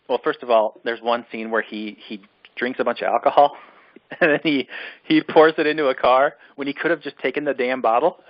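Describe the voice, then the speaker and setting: A man's voice: fairly high pitch, no room sound, muffled recording. A man co-hosting a casual film discussion, ranting in an exasperated, emphatic way and repeating himself for emphasis. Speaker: podcast co-host reviewing films.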